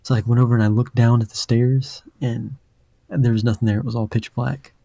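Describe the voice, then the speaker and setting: A young man with a deep voice telling a rambling story on a casual podcast, full of fillers. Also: steady tone, brisk, creaky, dark tone, no echo, studio quality. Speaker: podcast host.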